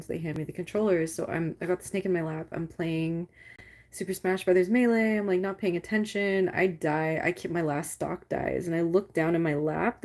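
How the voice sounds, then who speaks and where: A young adult woman with a low voice, chatting casually with viewers on a livestream, rambling and swearing with lots of "like". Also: vocal fry, a little mellow. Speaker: livestream host.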